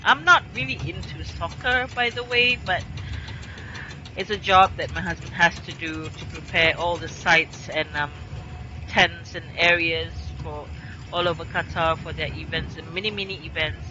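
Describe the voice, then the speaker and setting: A woman's voice: lowish voice, moderate pace, sharp tone, lo-fi sound, a little hiss or hum. A woman from Singapore chatting casually while streaming a game from her home in Qatar, meandering and full of fillers.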